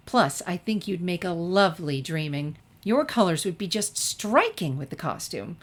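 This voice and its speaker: A woman's voice: fairly low pitch, animated, moderate pace. A woman voicing an eager, pushy female unicorn drama professor in a story reading, coaxing and insistent.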